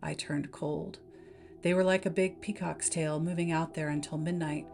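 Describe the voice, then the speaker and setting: A woman's voice: sits low, steady tone, normal speed, creaky, darkish tone. A woman voicing a brooding, talkative male lighthouse keeper, musing in a folksy way as part of a story reading. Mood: musing, awed. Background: background music.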